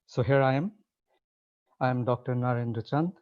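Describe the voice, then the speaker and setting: A man, giving a relaxed, conversational talk as a webinar guest speaker over a video call. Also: medium pitch, moderate pace. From Nepal. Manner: relaxed and conversational, with frequent restarts. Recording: slightly muffled webinar audio.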